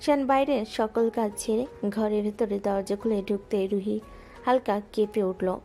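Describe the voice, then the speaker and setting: A woman narrating a romantic audio story in a smooth, even storytelling voice. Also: mid-range voice, moderate pace. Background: soft background music.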